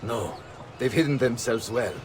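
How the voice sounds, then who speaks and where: A man's voice: mid-range voice, unhurried, background noise, close to an everyday voice. An actor playing a dignified, courteous Florentine nobleman with an Italian accent in a game cutscene. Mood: grave. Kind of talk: dramatic game cutscene acting.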